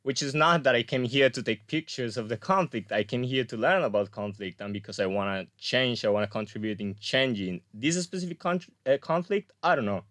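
A man's voice: mid-range voice, lively intonation, brisk, few pauses, fairly bright tone, dry recording. A young man from Colombia, a graduate student guest, talking casually in an interview with many fillers and restarts. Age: young adult.

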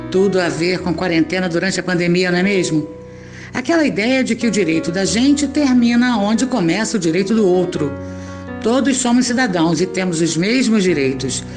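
A woman's voice: fairly low pitch, expressive, moderate pace. A woman narrating a scripted audio drama in a conversational way, with rhetorical questions. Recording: music under the voice.

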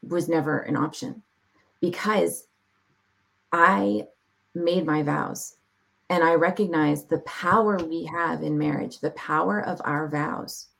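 A woman speaking as a podcast guest, sharing her testimony in a reflective, heartfelt way with measured pauses. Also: low voice, creaky, low-quality recording. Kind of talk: personal testimony in an interview.

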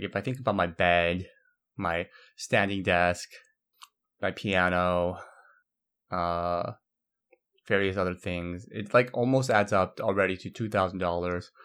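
Low-voiced young man rambling casually. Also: fairly bright tone. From Brazil. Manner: casual, loose, with fillers and restarts. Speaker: podcast host. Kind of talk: solo rambling podcast monologue.

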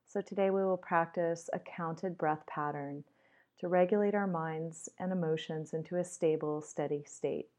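A woman leading a guided meditation in a measured, instructional way with deliberate pauses, on slightly dull audio. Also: fairly low pitch, a little mellow.

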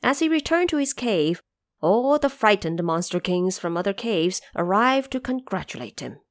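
A woman narrator telling a scripted fantasy tale in a theatrical audio drama style, with deliberate dramatic emphasis. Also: medium pitch, big pitch swings.

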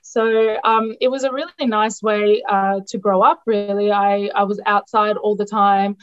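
A woman's voice: medium pitch, level tone, measured pace, clean tone. A woman who is a dietitian, her Greek, answering interview questions with many ums, restarts and hesitations. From Australia. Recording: dull lo-fi sound.

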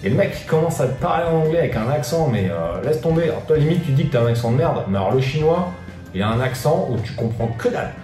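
A man's voice: mid-range voice, expressive, fast, mellow. A man talking casually and straight to the viewer, slangy and full of fillers, giving business advice. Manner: slangy, informal, addresses viewer as tu, many fillers. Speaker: online business coach. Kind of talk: casual direct-to-camera advice video. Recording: echoey room with faint guitar music.

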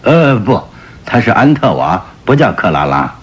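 An actor playing a proud middle-aged French marquis and doting father who is easily offended, in an expressive, theatrical radio drama manner. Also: lowish voice, moderate pace, husky. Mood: puzzled. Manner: expressive, theatrical delivery with exclamations. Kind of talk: Mandarin radio drama acting.

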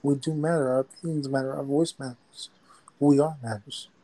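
A man's voice: mid-range voice, fairly even intonation, fairly quick, dark tone, poor audio. A man hosting a casual chat, encouraging and affirming toward his guests. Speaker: podcast host.

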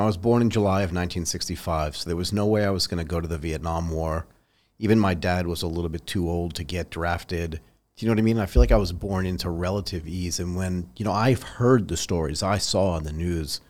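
A man's voice: sits low, darkish tone. A man in his fifties interviewing a guest, asking curious questions and encouraging her answers. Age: 50s. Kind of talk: conversational interview.

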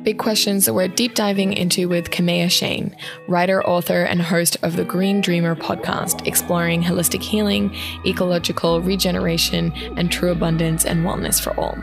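Gravelly woman hosting a podcast. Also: on the low side, flat delivery, moderate pace, music in the background. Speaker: podcast host. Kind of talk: podcast intro and interview.

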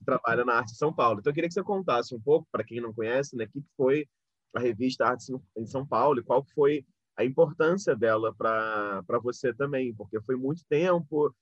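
A man's voice: mid-range voice, fairly quick. A man with a Brazilian accent interviewing in a polite, discursive, conversational way, circling around his questions. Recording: roomy, dull lo-fi audio.

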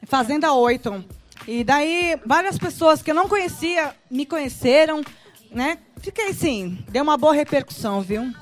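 Lively high-voiced woman. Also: moderate pace, soft background music. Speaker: reality show guest. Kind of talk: casual outdoor chat.

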